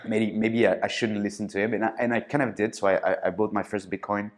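A man's voice: lowish voice, little pitch movement, fast. A man from France, telling his founder story in a casual interview, hesitant, with many fillers and restarts. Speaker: podcast guest, startup founder.

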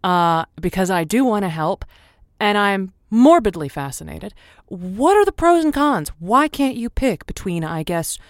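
A woman's voice: medium pitch, sing-song, unhurried, dry recording, clean studio sound. A woman playing a wry, teasing radio advice host in an improvised audio drama, talking conversationally. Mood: probing, wry. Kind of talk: semi-improvised audio drama, call-in talk.

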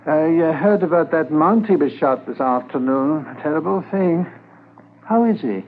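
An actor playing a polite, hesitant, uneasy druggist in a 1950s radio drama. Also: male voice, a little high, expressive. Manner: hesitant, halting. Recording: muffled, faintly noisy 1950s radio transcription.

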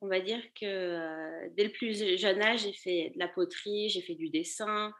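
A woman's voice: medium pitch, fairly even intonation, a little slow, fairly bright tone. A woman, answering casually as an interview guest in a loose, meandering way. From Paris, France. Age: 30s. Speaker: podcast guest, fashion accessories designer.

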